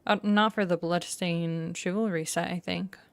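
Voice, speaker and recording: Lady game streamer thinking out loud, lowish creaky voice, super dry close mic. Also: steady tone, moderate pace, slight background noise. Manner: musing aloud, self-correcting. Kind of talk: casual reaction commentary.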